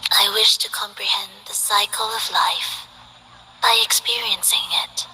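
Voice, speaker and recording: A woman playing a soft-spoken, detached and tragic guardian of the underworld in a video game, with a breathy, measured delivery. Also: mid-range voice, flat delivery, in a whisper, faint music behind, low-quality recording. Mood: solemn, mystical. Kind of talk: scripted video game character acting.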